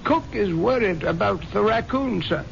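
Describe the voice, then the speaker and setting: High, creaky, deferential butler. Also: male voice, lively intonation, moderate pace, heavily acted. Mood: anxious, polite. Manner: formal, polite, servile. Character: deferential, worried household butler. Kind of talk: 1970s radio drama acting. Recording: muffled vintage radio broadcast, faint noise.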